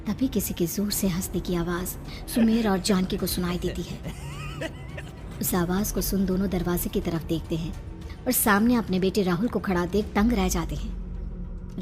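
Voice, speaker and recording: A woman's voice: lowish voice, normal speed, soft background music. A woman giving scripted narration of a horror story as its narrator.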